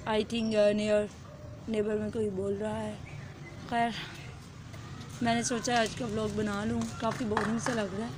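Young woman, voice kinda hoarse, chatty vlog on her phone outside, noisy yard behind her. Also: mid-range voice, level tone, measured pace, pauses often. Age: young adult. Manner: chatty, addresses viewers directly, mixes in English words. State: hoarse throat. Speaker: vlogger. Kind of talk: casual vlog chat to camera. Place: outdoors in a rural courtyard with goats. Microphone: phone held at arm's length. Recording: noisy outdoor phone recording.

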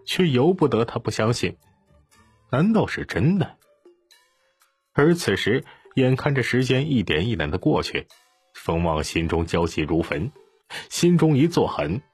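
A man's voice: medium pitch, very animated, a little slow, slightly rough, no room sound, soft background music. A man narrating a scripted audiobook story.

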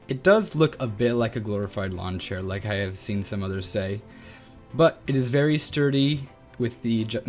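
A young man in his twenties giving a scripted review of flight sim gear, with a voice a bit weak from illness, recorded on a close desk mic in a small gaming room. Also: fairly low pitch. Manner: earnest, steady read-through. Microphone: close desk mic, wearing headphones.

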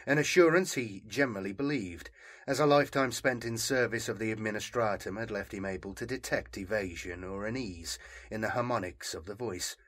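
A man's voice: lowish voice, fairly quick, fairly bright tone. A man narrating scripted fiction as an audiobook reader, telling the story dramatically.

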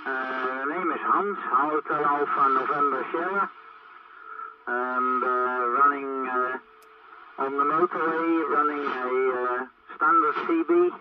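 A man chatting hesitantly as a CB radio operator, over a radio transmission. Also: a little high. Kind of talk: casual two-way radio chat. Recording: noisy, muffled, distant CB radio signal.

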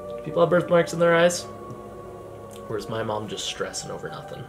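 A man in his thirties with a higher-pitched voice, chatting playfully while gaming at home as vlog host. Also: a little fast, gravelly. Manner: playful, joking, excitable. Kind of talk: casual chat while gaming. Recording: music in background.

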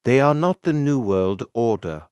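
A man's voice: mid-range voice, animated, a little slow, a little mellow, clean tone, no room sound, highs cut off, natural voice. A man playing a sombre survivor speaking plainly and seriously to a comrade in an amateur audio drama. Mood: resigned farewell. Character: sombre male survivor radioing his comrade.

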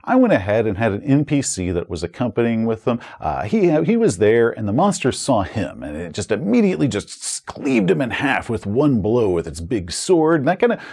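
A man's voice: mid-range voice, huge pitch swings, moderate pace, gravelly, few pauses, a little mellow, husky. An American man in his forties giving a tabletop game review, conversational and emphatic, telling it like a storyteller. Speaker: tabletop RPG reviewer and host. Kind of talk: scripted review commentary with game-master anecdotes. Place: small indoor room with bookshelves and curtains. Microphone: lapel mic.

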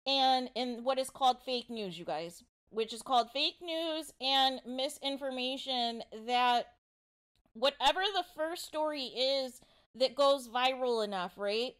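A woman's voice: high voice, measured pace, fairly bright tone, clear, resonant tone. A woman hosting informal gossip commentary, speaking emphatically and repetitively, often addressing her listeners directly. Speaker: online commentary show host.

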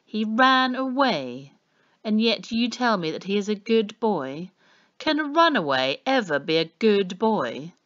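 A woman's voice: mid-range voice, very animated, measured pace, fairly bright tone, pitched above the performer's own voice. Storybook policeman performed by a woman: pompous, sneering, mock-polite menace, dull-sounding recording. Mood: suspicious. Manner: mock-polite, snapping, sarcastic.

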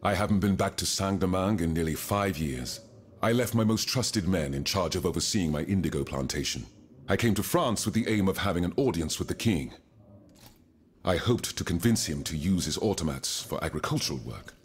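A man's voice: low-pitched, animated, brisk, a little hiss or hum. A man playing a proud, earnest, wealthy colonial reformer in a period game drama, speaking formally and eloquently, with gravity. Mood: solemn, explanatory.

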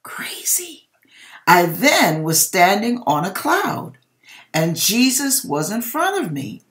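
A woman's voice: medium pitch, big pitch swings, slow, a bit bright. A middle-aged woman with an American accent reading aloud dramatically and talking directly to viewers in a theatrical, emphatic way, drawing out words, in a home kitchen. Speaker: host reading viewers' dream accounts.

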